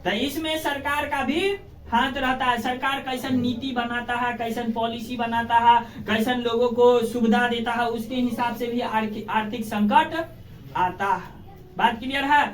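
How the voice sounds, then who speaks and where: A man's voice: extremely high pitch, steady tone, moderate pace. A man with a Bihari accent teaching a class in simple colloquial terms, repeating phrases and asking prompting questions. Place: echoey classroom with pupils present. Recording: echoey room, distant microphone.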